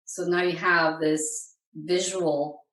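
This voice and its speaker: A woman's voice: low voice, flat delivery, slow, fairly bright tone, slight background noise. A woman on a group call, a workshop participant speaking appreciatively with a few stammered restarts, well away from her mic. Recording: echoey room audio from far off.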